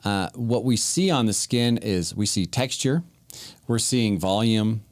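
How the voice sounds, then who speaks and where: A man's voice: on the low side, measured pace, dry recording. A man in his thirties co-hosting a podcast as a physician assistant, easygoing and prone to joking. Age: 30s. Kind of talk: casual podcast chat.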